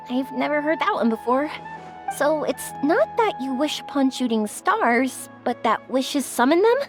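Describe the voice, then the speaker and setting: A bubbly young woman for a game story, speaks in a high voice with lively swings. She is chatty, warm-hearted and encouraging, over background music. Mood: excited, upbeat. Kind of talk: scripted video game story dialogue.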